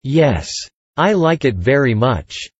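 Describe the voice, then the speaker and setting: A man's voice: mid-range voice, sing-song, slow, husky, dry recording, highs cut off. A man reading short English answers for a language lesson in a careful, scripted way. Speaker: English dialogue reader.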